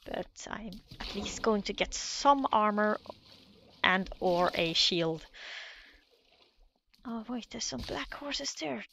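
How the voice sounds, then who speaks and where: Slow, gravelly Norwegian-accented woman gamer. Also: mid-range voice, animated, a bit bright, a little hiss or hum. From Norway. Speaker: Minecraft let's player. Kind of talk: nervous casual gameplay commentary.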